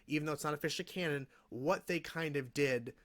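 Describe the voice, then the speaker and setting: A man's voice: a little high, fairly quick, a bit bright. A man hosting a reaction video, giving casual commentary in a rambling, self-correcting way with frequent hedges. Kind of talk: casual review commentary on a cartoon episode.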